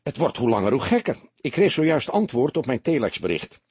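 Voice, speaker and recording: Keen young male police sidekick a man acts him out, excited, higher voice with lively swings, crime audiobook. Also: breathy edge, dry recording. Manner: excited, hurried reporting.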